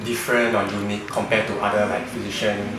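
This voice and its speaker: A man's voice: fairly low pitch, level tone, fast. A man interviewing a client, asking questions hesitantly with many fillers. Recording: echoey room from far, with background noise.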